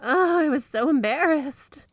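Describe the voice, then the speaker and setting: A woman playing a flirty, obsessively devoted yandere wife in an intimate ASMR roleplay, gushing straight to the listener with drawn-out emphasis. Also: high voice, expressive, no echo. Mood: flustered, excited. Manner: gushing, breathy giggles, drawn-out emphasis on words.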